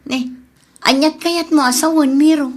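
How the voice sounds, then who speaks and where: A practical, spirited farmer's wife with a fairly high voice and an Ilocano Filipino accent, played by a woman. She is friendly and supportive, a village character in a radio comedy drama. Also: fairly even intonation, normal speed, natural voice. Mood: defensive.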